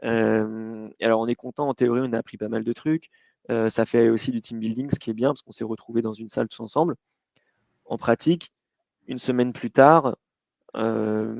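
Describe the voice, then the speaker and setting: A man's voice: mid-range voice, gravelly, darkish tone, no echo. Male founder, informal storyteller with stammering restarts, dull lo-fi dry call sound. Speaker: company founder and guest speaker. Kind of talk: casual webinar talk.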